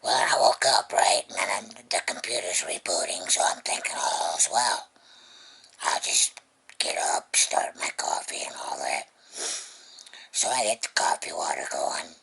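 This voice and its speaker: A very breathy, husky vlogger with a low-pitched voice talks in a casual, rambling way. The words come slowly and often thin out toward a whisper, with a gravelly rasp. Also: expressive, pushed and bright. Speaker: vlogger, host of the channel. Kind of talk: casual unboxing vlog chat. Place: indoors at home.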